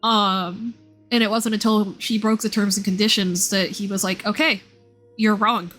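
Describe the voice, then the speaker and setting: A woman's voice: mid-range voice, fairly even intonation, normal speed, a bit bright. A woman chatting casually as a livestreamer, commenting on a game in an informal, talkative way and often circling back to rephrase. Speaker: streamer and VTuber model creator. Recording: game music playing behind the voice. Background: video game music.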